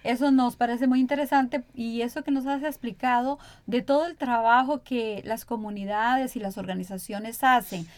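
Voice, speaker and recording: Higher-pitched woman radio host. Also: fairly even intonation, moderate pace, hardly any pauses, a little mellow, dry recording. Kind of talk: conversational radio interview.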